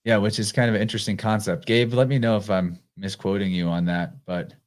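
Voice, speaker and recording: Low-voiced man hosting a podcast. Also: a little fast. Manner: relaxed, reads out listener comments. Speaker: podcast host. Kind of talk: casual live podcast chat.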